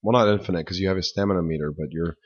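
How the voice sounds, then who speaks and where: Hoarse low-voiced man chatting. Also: steady tone, rapid-fire. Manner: rambling, self-correcting. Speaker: podcast co-host. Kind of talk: casual chat. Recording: dull lo-fi audio.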